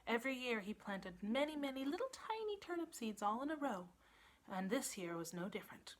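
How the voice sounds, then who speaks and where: A woman librarian telling a children's story in an animated style, building drama with emphasis and repetition. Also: on the high side, a little fast.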